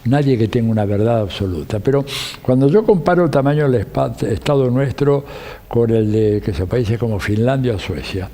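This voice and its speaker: A gravelly, mellow-toned man in his seventies with an Argentine accent touched by Italian inflections; Italian was his first language. He answers interview questions slowly and reflectively, restarting phrases as he thinks aloud. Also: medium pitch. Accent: Argentine Spanish with slight Italian inflections. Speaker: guest political scientist.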